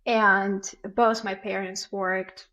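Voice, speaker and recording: A woman's voice: mid-range voice, little pitch movement, takes it slowly, pushed and bright. A woman in her forties with a Ukrainian accent, a podcast guest telling stories in casual chat and acting out family exchanges. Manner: storytelling, acts out family dialogue.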